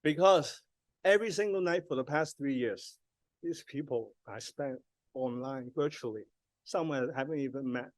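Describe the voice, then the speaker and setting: A man's voice: medium pitch, moderate pace, no room sound. A man from Hong Kong gives a personal acceptance speech on stage, hesitant, self-deprecating and choked with emotion. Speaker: honored artist giving an acceptance speech. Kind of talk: halting, personal thank-you speech. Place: ceremony stage.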